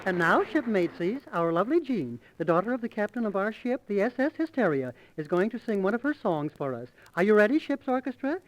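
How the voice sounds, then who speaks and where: Woman as a lively, jaunty ship's announcer. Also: medium pitch, fairly quick, few pauses, slight background noise, natural voice, low-quality recording. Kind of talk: 1930s radio comedy announcing.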